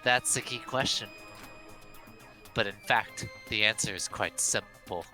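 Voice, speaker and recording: A man's voice: on the low side, a little slow, bright, forceful tone, soft background music. A man voicing a haughty, pompous young heir from a mystery game, declaiming with relish. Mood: intrigued, smug.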